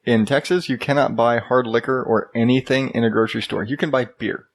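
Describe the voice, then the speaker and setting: Gravelly man with dry humor. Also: mid-range voice, steady tone, fairly quick. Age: 30s. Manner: dry, wry joking. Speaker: podcast co-host. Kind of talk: casual chat. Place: home in Arizona.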